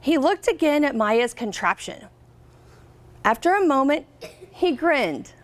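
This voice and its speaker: An American woman reading a picture book aloud to children as a guest reader, expressively, pausing to ask her listeners questions. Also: high voice, sing-song. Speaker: guest reader, company representative.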